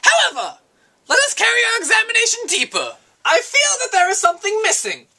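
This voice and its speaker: Stern female spirit of wisdom, acted by a woman, high-pitched, bright, theatrical, declamatory, lofty teacher, philosophical stage dialogue. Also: huge pitch swings, brisk, exaggerated voice. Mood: probing. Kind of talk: dramatic reading of a philosophical dialogue.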